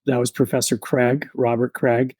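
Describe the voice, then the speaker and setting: A man in his thirties, a podcast guest, telling his story in a relaxed, reflective way with many fillers. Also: mid-range voice, moderate pace, dry recording.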